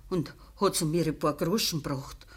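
Husky, dying Bavarian peasant mother. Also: low voice. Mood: sorrowful. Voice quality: husky, weak. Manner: halting, deferential. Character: dying peasant mother, humble, sorrowful, devoted. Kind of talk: naturalistic dialect radio play acting.

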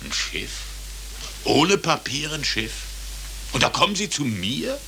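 An actor playing an officious, condescending, brusque consul in a 1940s German radio drama. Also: male voice, medium pitch, very animated, slow pace, creaky, sharp tone, exaggerated voice, poor audio. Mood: brusque, dismissive. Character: officious, dismissive American consul, condescending and evasive. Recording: hissy old radio drama recording.

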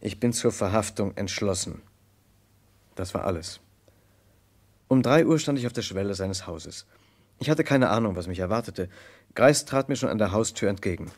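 An actor playing an earnest, troubled gentleman who narrates an old German radio crime play in a careful literary manner. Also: fairly low pitch, animated. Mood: grave, narrating. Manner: measured, literary storytelling, hesitant in dialogue. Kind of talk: mid-20th-century German radio crime drama narration and acting.

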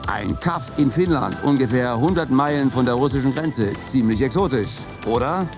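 An actor playing a brash, showy American radio news jockey of the future in a German radio play. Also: male voice, mid-range voice, animated, normal speed, loud music behind the voice, lightly acted. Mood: teasing, showy. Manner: showy, teasing listeners. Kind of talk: radio newscast and moderation in a radio play.

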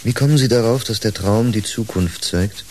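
An actor playing a controlled, concerned psychotherapist in a radio drama, measured, questioning and gently admonishing. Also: male voice, lowish voice, close to an everyday voice. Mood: probing, calm. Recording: hissy radio drama recording.

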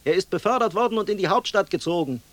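Radio play sentry, strikingly high voice, careful and pedantic, dodging responsibility, very dry acoustic. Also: male voice, animated, fast talker, no room sound. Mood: guarded, matter-of-fact. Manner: pedantic, evasive, repetitive. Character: cautious, obedient male soldier on guard duty.

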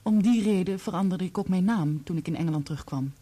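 An actress in a Dutch radio play playing a composed, candid divorced woman who speaks in a measured, probing way. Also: on the low side, mellow, natural voice. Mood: candid, reflective.

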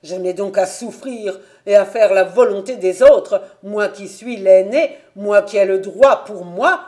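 Storybook role: bitter, indignant eldest son of a farmer woman narrator performing, forceful, loudly protesting. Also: mid-range voice, a little slow, close to an everyday voice. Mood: anguished, indignant.

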